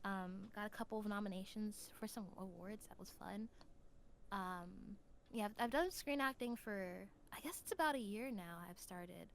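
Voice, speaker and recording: A young adult woman chatting casually as a podcast guest, telling stories in an animated, slangy way. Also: medium pitch, moderate pace. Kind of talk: casual chat. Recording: clear background noise.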